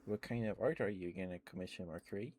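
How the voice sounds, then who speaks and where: A man with a fairly low voice hosts a casual ASMR stream. He talks close to the microphone in a loose, rambling way. He addresses his viewers affectionately, often with pet names. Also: fast, a little mellow, slight background noise.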